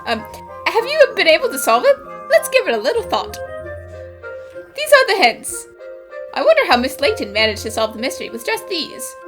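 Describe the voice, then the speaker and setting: A woman's voice: extremely high pitch, wildly swooping pitch, moderate pace, long pauses, music in the background, heavily acted. A woman voicing an earnest, easily astonished young detective's assistant in a cartoon fan dub, eager and wide-eyed. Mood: explanatory. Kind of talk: anime-style fan dub acting.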